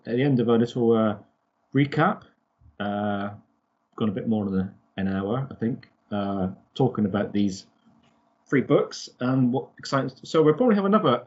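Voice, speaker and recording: A husky man of middling pitch hosts a relaxed fan discussion. His delivery is halting, feeling its way through each sentence. The audio is somewhat dull and lo-fi. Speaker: podcast host.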